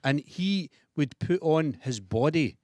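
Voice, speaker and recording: A man's voice: a little high, lively intonation, slow, a little mellow, dry recording. A man with a Scottish accent, a podcast guest telling a story in casual chat and laughing often. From Scotland.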